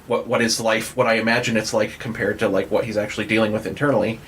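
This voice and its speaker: Casual man with lowish voice. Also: flat delivery, fairly bright tone, a little hiss or hum. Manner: informal, halting, self-correcting. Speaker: podcast participant. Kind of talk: casual chat.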